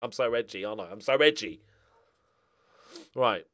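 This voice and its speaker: A man's voice: on the low side, expressive, normal speed, a bit bright. A middle-aged man giving casual, rambling commentary on a game, with a wry, self-mocking, jokey manner. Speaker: gaming video host.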